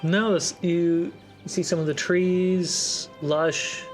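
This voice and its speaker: Game master: mid-pitched voice, descriptive narration in short halting phrases, faint score underneath. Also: little pitch movement, faint music behind. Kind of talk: improvised tabletop game narration.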